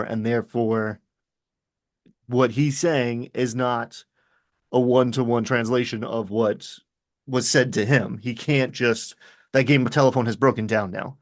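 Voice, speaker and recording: A man talking casually as a game master, telling stories in an animated way and acting out little asides. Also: mid-range voice. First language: English. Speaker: game master discussing his tabletop games. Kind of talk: casual conversational chat.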